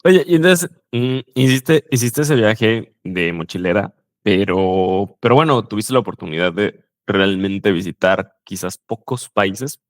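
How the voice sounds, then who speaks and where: Low-voiced man hosting a chat. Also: measured pace, few pauses, dry recording, clean studio sound. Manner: warm backchannels, relaxed filler-heavy talk. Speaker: host, language coach. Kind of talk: casual interview chat.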